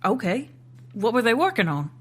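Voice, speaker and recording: An actress playing a sharp, skeptical government agent in an audio drama, asking blunt, incredulous questions. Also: female voice, a little high, sing-song, normal speed, breathy edge, exaggerated voice. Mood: skeptical, confused. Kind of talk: naturalistic audio drama acting.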